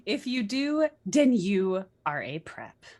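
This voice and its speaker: A sulky, defensive teenage girl author, acted by a woman. She reads her notes slowly and haltingly at a medium pitch, with petulant emphasis. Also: very animated, a little mellow. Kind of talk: comedic dramatic reading of author's notes.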